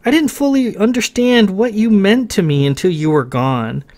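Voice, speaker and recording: A man's voice: high-pitched, lively intonation, moderate pace, a little mellow, voice pushed up. A man reading a story, voicing a young man who pleads and apologizes in raised, stumbling bursts. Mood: earnest.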